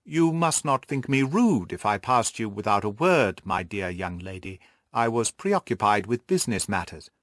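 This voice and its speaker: A man voicing a jovial, patronising middle-aged country gentleman in an audiobook story, with a jesting, exclamatory manner. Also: lowish voice, lively intonation, moderate pace, few pauses, no echo, studio quality, natural voice. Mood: smooth apology.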